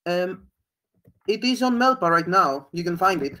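A man's voice: high-pitched, animated, moderate pace, sharp tone. Young male speaker, tentative, filler-heavy, plain voice. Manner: hesitant, tentative, many fillers. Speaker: conference speaker. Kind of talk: answering audience questions.

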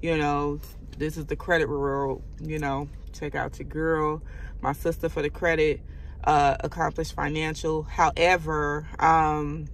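A woman's voice: low voice, flat delivery, unhurried, slight background noise. A young woman vlogging to her phone from inside a car, chatty and advice-giving, calling her viewers y'all. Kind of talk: casual vlog talk to camera. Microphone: phone camera at arm's length.

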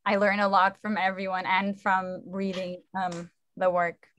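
A woman's voice: medium pitch, completely flat delivery, unhurried, fairly bright tone. A woman in her twenties, a director, talking casually and appreciatively on a video call. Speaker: play director. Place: indoor room on a video call. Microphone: computer video call.

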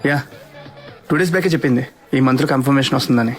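A man playing a breezy, excitable young husband in a romantic comedy film, playful and mixing English into his speech. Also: medium pitch, very fast, faint music behind, close to an everyday voice. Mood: excited. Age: young adult.

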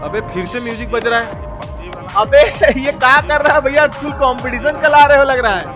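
A man streams a game live in a strikingly high voice with a Bihar and Purvanchal accent. He talks casually and playfully, repeating phrases and exclaiming in colloquial slang. Music plays clearly behind him. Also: moderate pace. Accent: eastern Hindi belt (Bihar and Purvanchal). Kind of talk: casual live gaming commentary, thanking donors. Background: video game music.

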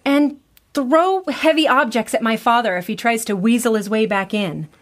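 An actress playing an exasperated, stern adult daughter in an audio comedy, curt and dryly scolding. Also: female voice, fairly high pitch, moderate pace, close to an everyday voice. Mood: weary, irritated.

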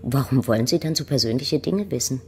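An actress playing a reserved, wary middle-aged mother in a German radio play, with a husky voice. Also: very deep voice, brisk, mellow, slight background noise. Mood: guarded.

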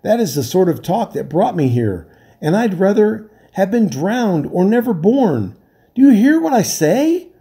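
A wild-eyed castaway man, gasping and desperate. His voice climbs high and strained, loud with terror. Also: sing-song, unhurried, exaggerated voice. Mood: raging. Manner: frantic, gasping pleading.

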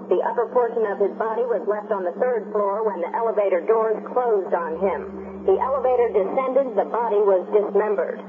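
A woman acting as a composed American field reporter, reading a formal, measured news report for a radio drama. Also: mid-range voice, lightly acted. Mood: formal, grave. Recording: noisy, tinny vintage radio remote line.

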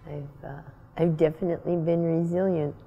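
A middle-aged woman speaking reflectively in a personal interview, earnest and halting, pausing mid-phrase. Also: low voice, level tone, lo-fi sound. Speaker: street paper vendor. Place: indoor room. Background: music.

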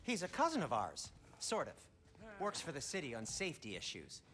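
A man's voice: a little high, huge pitch swings, a little fast, heavy background noise. A man playing a fussy, eager, fretful dwarf craftsman in a video game, chatty and prissy. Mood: helpful.

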